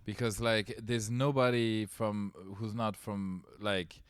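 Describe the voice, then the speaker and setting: A man's voice: lowish voice, steady tone, slow, clean tone, no echo, clean studio sound. A man from France co-hosting a podcast in casual chat, joking and rambling, with a lingering cough from covid. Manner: joking, rambling with restarts and fillers. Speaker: podcast co-host.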